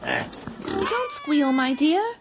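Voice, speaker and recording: A woman's voice: high-pitched, very animated, very slow, noisy background, heavily acted. A woman playing a polite, earnest, curious young girl in a radio play. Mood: fretful, scolding.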